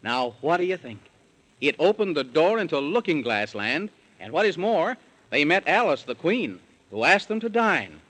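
A man's voice: fairly high pitch, big pitch swings, moderate pace, a bit bright. A man narrating a 1930s radio children's serial in rhyme, with a theatrical sing-song storytelling delivery.

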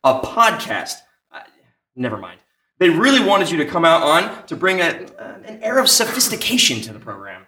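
Guy hosting a live comedy sketch, bright voice, stammers politely, very up and down, roomy sound. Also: a little high, wildly swooping pitch, moderate pace. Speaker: comedy show announcer and straight man. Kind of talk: live radio-style sketch banter. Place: comic shop, live before audience. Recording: echoey live room recording.

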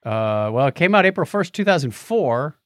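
A man chats casually as a podcast host in an animated voice that moves freely up and down. The recording is dry and close, and his delivery is wry and jokey, with a techy bent. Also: fairly high pitch, moderate pace, dry recording.

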